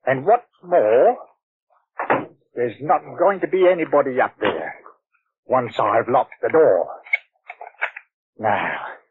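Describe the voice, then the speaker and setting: An actor playing a blunt, superstitious but deferential village church caretaker with a colloquial British manner and accent in a 1940s radio drama. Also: male voice, medium pitch, lively intonation, slow, long pauses, slightly rough, no echo. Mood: dogged, uneasy. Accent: British English. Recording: tinny old radio broadcast.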